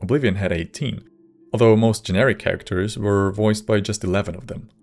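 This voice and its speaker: A man reading scripted video essay narration in a measured, wry manner. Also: fairly low pitch, fairly even intonation, a little mellow, soft background music.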